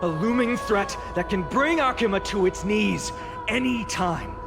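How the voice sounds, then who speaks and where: A solemn young hero speaks to a whole city in a game cutscene. His voice sits high and his delivery is slow and deliberate, each phrase weighed. Orchestral music plays strongly beneath him. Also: lively intonation, vocal fry, full character voice. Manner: solemn, impassioned public address. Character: young male hero, earnest orator addressing a city.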